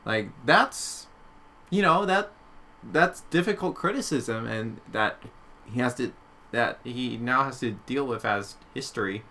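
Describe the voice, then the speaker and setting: Man, bright forceful voice, mid pitch, casual podcast chat, faint background noise. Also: measured pace. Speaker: podcast co-host.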